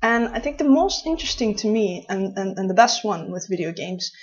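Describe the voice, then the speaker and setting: Casting: Dutch woman in her late 20s, Dutch accent, husky tone, chatty, rambling vlog talk, muffled lo-fi sound. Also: mid-range voice, expressive, fairly quick. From Netherlands. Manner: chatty, rambling, with many restarts. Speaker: vlogger. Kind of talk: casual talk to camera. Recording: dull lo-fi recording.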